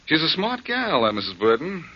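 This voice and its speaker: A man's voice: on the high side, huge pitch swings, moderate pace, bright, forceful tone, husky, full character voice. An actor playing a tough, sardonic male detective who threatens and wisecracks, in 1940s radio drama style. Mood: menacing. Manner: menacing, sardonic. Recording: dull old radio broadcast.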